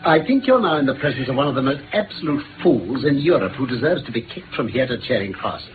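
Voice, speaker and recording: Through a narrow, noisy vintage radio recording comes a man acting a brisk British detective. His delivery swings widely in pitch, precise and theatrical, full of shrewd self-assurance, as in a 1950s radio play. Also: sing-song, natural voice. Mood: eager, wry. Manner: precise, theatrical, deductive pronouncing.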